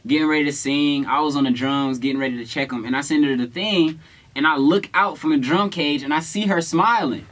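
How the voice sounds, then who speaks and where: A man's voice: on the high side, fairly quick. A young adult American man casually telling stories in a playful, slangy way, acting out quotes as a vlog co-host. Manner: playful, slangy, animated retelling with acted-out quotes. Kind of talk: casual storytelling.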